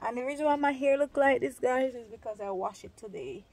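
A woman's voice: high-pitched, fairly quick, gravelly. A young woman chatting casually to her viewers in a cooking vlog, filming herself on a phone outdoors. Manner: chatty, talks straight to viewers while eating. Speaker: vlogger. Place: outdoors under a tin-roofed shed in a yard. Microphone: phone held at arm's length.

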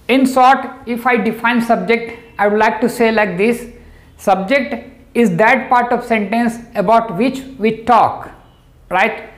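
A middle-aged man teaching a grammar lesson at a whiteboard, patiently checking in with "right?" as he explains. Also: very high voice. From India. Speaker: English teacher. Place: room in front of a whiteboard. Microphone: lapel. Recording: echoey room.

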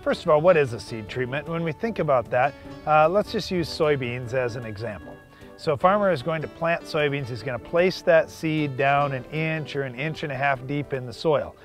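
Man co-hosting a farm show, slightly higher voice. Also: normal speed, soft background music. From United States. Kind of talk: scripted educational farm talk. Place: outdoors in a harvested field.

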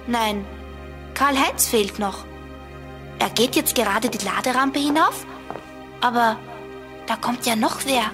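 A woman playing a plucky, inquisitive girl detective in an expressive children's radio play. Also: a little high, slow pace, long pauses, music in the background. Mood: tense, watchful. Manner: animated, expressive.

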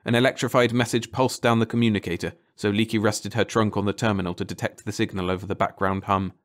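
A man's voice: lowish voice, steady tone, quick pace. A man reading a scripted story as an audiobook narrator.

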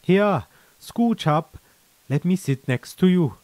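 A man's voice: high-pitched, expressive, takes it slowly, a little mellow, no room sound, pitched above the performer's own voice. A man voicing a chatty, frank, easygoing veteran soldier in a sci-fi story, with colloquial, mildly profane, persuasive talk. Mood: friendly, reassuring.

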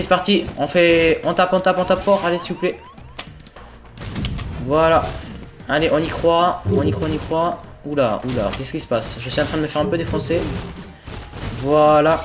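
Young man, stuffy with a cold, slightly high voice, swearing at a game, faint music behind. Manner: swearing, exasperated, talking to himself while playing. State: sick with a cold. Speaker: gaming video host. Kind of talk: casual let's-play commentary.

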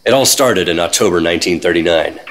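An actor playing a secretive, world-weary 1950s government agent, terse and deadpan, narrating an old-time radio drama. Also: male voice, sits low, fast, over loud music, close to an everyday voice. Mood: weary, reflective. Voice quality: husky. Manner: terse, deadpan, hard-boiled.

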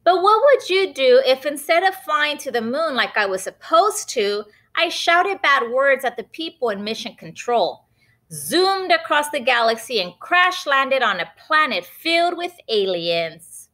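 Storybook kid: woman voicing a cheeky little boy, high, bouncy, full of mischief. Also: very animated, measured pace, bright, forceful tone, heavily acted. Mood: teasing. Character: naughty defiant little boy, teasing.

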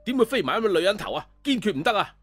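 A man voicing a childish, boastful self-styled god in an audio story, loud, insistent and repeating himself. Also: sits high, lively intonation, rapid-fire, pitched above the performer's own voice. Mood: indignant refusal.

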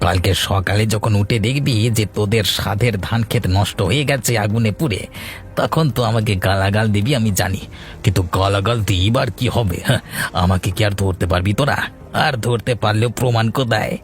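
A man acting a gloating, low-voiced village villain. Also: normal speed, faint music behind, close to an everyday voice. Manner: menacing, taunting, laughing villain. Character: gloating, spiteful village bully and land-grabber. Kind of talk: Bengali fairy-tale cartoon acting.

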